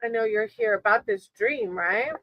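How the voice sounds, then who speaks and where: A woman's voice: a little high, fairly even intonation, normal speed, no echo, lo-fi sound. A woman hosting a casual live tarot reading, talking to her chat in a reassuring, encouraging way. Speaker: tarot reader hosting a livestream.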